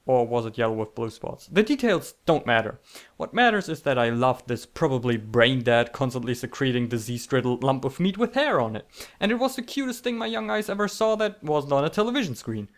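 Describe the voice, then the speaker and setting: A man's voice: medium pitch, very animated, a little fast. A man telling comedic scripted stories in a theatrical, sarcastic, mock-dramatic manner. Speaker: storytelling video creator.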